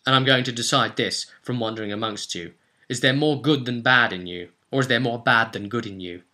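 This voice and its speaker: A man voicing an embittered, vengeful male elf loner in a tabletop fantasy game, solemn and deliberate. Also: mid-range voice, sharp tone. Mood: brooding, threatening.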